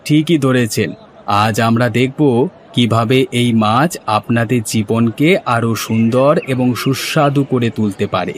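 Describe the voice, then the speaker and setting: A man's voice: medium pitch, unhurried, a little hiss or hum, close to an everyday voice. Man playing a proud, welcoming village fair host. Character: proud, earnest village man launching a hilsa fish fair. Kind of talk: scripted cartoon dubbing.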